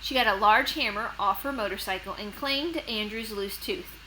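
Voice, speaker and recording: A woman narrating for children as an expressive read-aloud storyteller and host. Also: mid-range voice, moderate pace, slight background noise, poor audio. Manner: expressive, clearly addressed to children.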